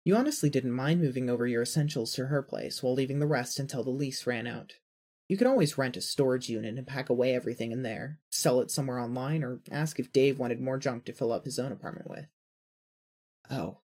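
A man's voice: mid-range voice, fairly quick, a little mellow. A man reading scripted fan fiction narration as the storyteller.

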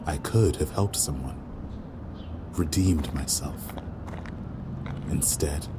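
A man's voice: rumbling low, slow pace, background noise. A man playing a guilt-ridden, self-doubting young waiter with secret powers in an audio drama, brooding and hesitant. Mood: envious, self-reproaching. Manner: brooding, rueful, hesitant with others. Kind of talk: audio drama acting with inner monologue.

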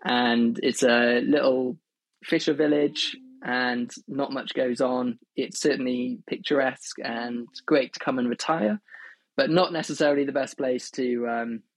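A young man from Wales, telling his story as a podcast guest in a relaxed, wordy way. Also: medium pitch, measured pace, no echo, poor audio. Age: young adult. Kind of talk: casual interview storytelling.

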